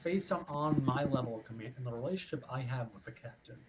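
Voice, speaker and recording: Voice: medium pitch, fast, natural voice. An actor playing an officious, politely pushy ship's watch officer in a formal, wordy script reading. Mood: smooth, threatening. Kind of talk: theatrical script reading over a livestream. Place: remote online stream.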